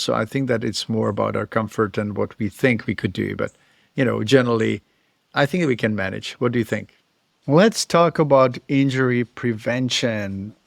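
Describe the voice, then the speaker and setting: A man's voice: medium pitch, vocal fry, no echo, studio quality. A middle-aged male veterinarian with a Czech accent, explaining in a thoughtful, informal way to camera. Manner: thoughtful, explanatory, occasional chuckles. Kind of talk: casual educational talk to camera.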